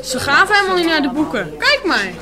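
An actress playing an eager, trusting woman in a radio play, coaxing and insistent in an excitable way. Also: sits high, fairly quick, a crowd in the background. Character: eager, trusting young woman following a cow. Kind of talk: Dutch radio play acting. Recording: roomy, noisy radio play recording with clicks.

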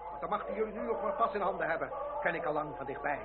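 A proud, grave aristocrat in middle age who speaks in formal, commanding phrases. The voice is a little high. It is an old radio drama recording, tinny and thick with noise and background din. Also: male voice, expressive, very fast, a loud crowd. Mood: proud, defiant. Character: haughty, unrepentant aristocrat, father of a grown son. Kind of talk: period radio drama acting.

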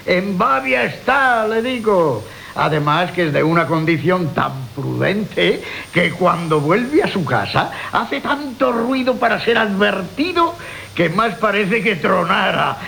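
An actor playing a sly, gossipy go-between in a classical radio comedy, wordy, theatrical, mocking and persuasive. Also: male voice, high-pitched, expressive, takes it slowly, creaky, pushed and bright, full character voice. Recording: hissy old radio broadcast.

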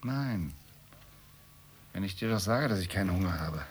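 Radio play husband: grumbling middle-class journalist, very low-pitched and terse, faint noise behind. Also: male voice, lively intonation, normal speed. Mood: grumpy. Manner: terse, morose. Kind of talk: radio play dialogue acting.

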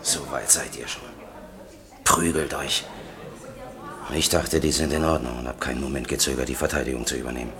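An actor playing a blunt, impatient defender in a German radio crime drama, with a gravelly voice. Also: male voice, extremely low pitch, fairly even intonation, a little fast, pauses often, a crowd in the background. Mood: exasperated. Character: blunt, impatient older-style defender, exasperated with his workmates.

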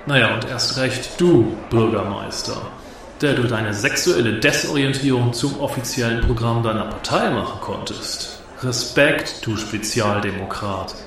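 A man's voice: mid-range voice, unhurried, close to an everyday voice. A man in a radio play acting an arrogant cabaret provocateur who mocks his audience with sneering, theatrical delivery. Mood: scornful. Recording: echoey hall with audience crowd.

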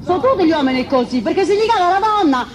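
A woman's voice: very high-pitched, expressive, racing. A middle-aged woman arguing vehemently, repeating herself and interrupting. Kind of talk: heated argument in the street. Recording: noisy crowd outdoors, documentary sound.

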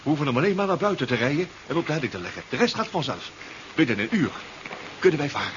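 A muffled, clearly noisy old radio play. a self-assured submarine-inventor professor, precise and reassuring, with lively swings of pitch. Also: male voice, fast. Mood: brisk. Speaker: actor in a radio drama. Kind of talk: 1970s radio drama acting.

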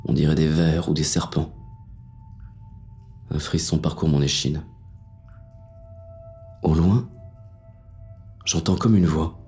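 A jaded cop narrating a noir audio drama, really deep mellow voice, slangy, wary, blunt. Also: male voice, steady tone, slow pace, pauses often, soft background music, full character voice. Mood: uneasy, hopeful. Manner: world-weary, slangy, blunt. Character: jaded, wary, hard-bitten male police inspector.

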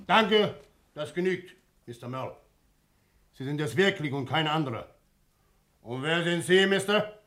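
An actor playing a brusque, callous ship's captain with a formal, commanding manner in a 1960s German radio crime drama. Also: male voice, on the high side, expressive, unhurried, long pauses, a bit bright. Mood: formal, testing.

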